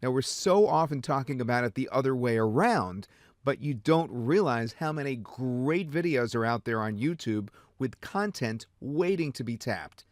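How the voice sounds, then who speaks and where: A man narrating a scripted software demo step by step. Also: medium pitch, expressive, normal speed, no echo, clean studio sound. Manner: step-by-step, instructive.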